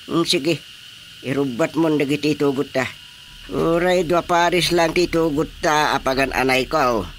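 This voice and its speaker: A man's voice: a little high, normal speed, fairly bright tone, slight background noise, close to an everyday voice. An actor playing an older rural father in a radio drama, speaking with an Ilocano accent. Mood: conversational.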